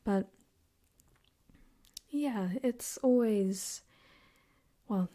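A woman playing a gentle, caring girlfriend in an ASMR roleplay, speaking softly and hesitantly, sometimes whispering, close to the mic. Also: mid-range voice, extremely slow. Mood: tender, reassuring. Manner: soft-spoken, hesitant, rambling. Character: gentle caring girlfriend, comforting her partner. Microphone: close binaural microphone.

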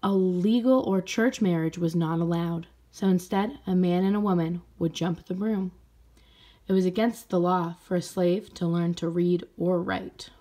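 A woman's voice: lowish voice, steady tone, a little slow, warm tone. A woman reading a storybook aloud expressively, as if to young listeners. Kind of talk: storybook read-aloud narration.

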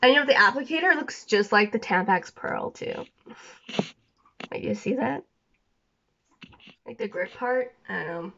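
A woman's voice: a little high, lively intonation, measured pace, vocal fry, long pauses, sharp tone, lo-fi sound. A young woman vlogging casually from her bedroom, rambling with lots of fillers and restarts, a bit sniffly with a scratchy throat. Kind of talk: rambling casual chat to camera. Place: small bedroom indoors.

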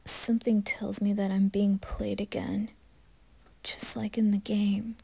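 A woman narrating a first-person horror story with dramatic, anguished intensity and a breathy delivery. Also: medium pitch, level tone, measured pace, warm tone, no room sound.

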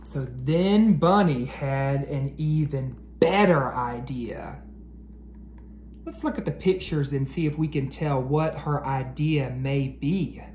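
An American man in his thirties, an educator doing an expressive, carefully enunciated read-aloud for children. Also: mid-range voice, takes it slowly. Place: living room at home, indoors. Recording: roomy echo, clear background noise.

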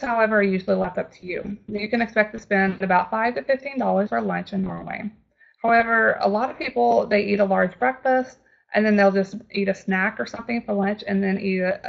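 A woman's voice: medium pitch, gravelly, highs cut off. A woman with a Texas accent, a travel agent giving a friendly, chatty webinar presentation with frequent ums and restarts.

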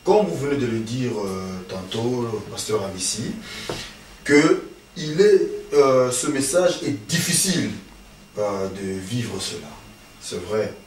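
Un homme d'âge mûr qui anime l'entretien, ponctue de murmures d'approbation et pose des questions insistantes. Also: male voice, medium pitch, animated, slow. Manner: frequent affirming murmurs, probing questions. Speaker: interviewer. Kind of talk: religious TV interview. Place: small studio with patterned wallpaper. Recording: roomy, distant, faint hiss.

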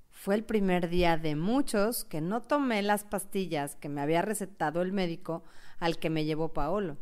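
Woman acting clear-voiced heartbroken young woman narrator. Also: lowish voice, a little mellow, close to an everyday voice. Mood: resolute, relieved. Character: heartbroken, anxious young woman telling her own story. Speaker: narrator and actress in an audio novel. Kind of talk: dramatized first-person radio novel narration.

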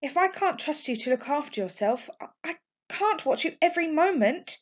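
A woman's voice: high voice, animated, normal speed, voice pushed up. A woman voicing a worried, stern mother scolding her daughter in a storybook. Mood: exasperated. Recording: dull lo-fi home recording.

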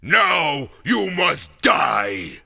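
A man's voice: on the high side, big pitch swings, extremely slow, vocal fry, sharp tone, raised voice, heavily acted. A man playing a menacing armored warrior villain in a cartoon game cutscene, bellowing his commands theatrically. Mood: commanding rage. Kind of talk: animated game cutscene acting.

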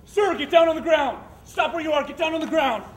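An aggressive police officer, played by a man barks orders at a very high pitch. Every line comes out as a shouted command. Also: a little fast. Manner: barking commands.

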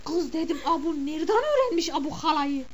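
Woman doing a middle-aged village mother, very high voice, chatty, exclamatory, scolding. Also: animated, a little fast, a little hiss or hum. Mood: gossipy. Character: gossiping village mother of the bride, indignant. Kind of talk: comic character monologue.

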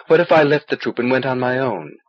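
A man's voice: medium pitch, lively intonation, fast talker, no room sound, close to an everyday voice. A man reading an audiobook as a stubborn young male traveler, earnest, insistent and questioning. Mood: curious. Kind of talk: audiobook character dialogue. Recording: dull old cassette transfer.

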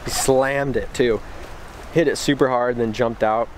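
A man's voice: medium pitch, steady tone, normal speed, poor audio. A man in his twenties chatting casually in a laid-back, slangy way, vlogging outdoors into a handheld camera. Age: 20s. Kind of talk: casual outdoor vlog chat. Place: outdoors on a riverbank by a boat. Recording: clear outdoor background noise, boat and water.

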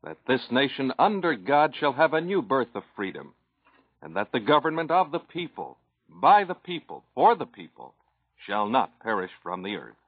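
A man's voice: mid-range voice, sing-song, moderate pace, breathy edge, dry recording. An American man, a 1940s radio announcer, giving a solemn, oratorical scripted reading. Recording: dull lo-fi vintage radio recording.

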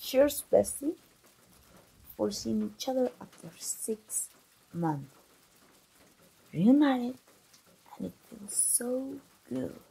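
A woman acts out a chatty young best friend in an intimate ASMR roleplay. Her voice is very breathy and husky, with a soft, dark tone and creaky edges. She talks in a playful, familiar way, teasing the listener like an old friend. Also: on the high side, sing-song, slow, long pauses, exaggerated voice, low-quality recording. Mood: teasing, friendly. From Mexico. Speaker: voice actor.

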